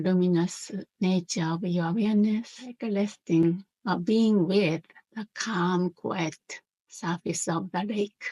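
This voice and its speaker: A woman teaching a guided meditation in a gentle, unhurried manner, pausing between short phrases. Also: fairly low pitch, husky, no echo, highs cut off.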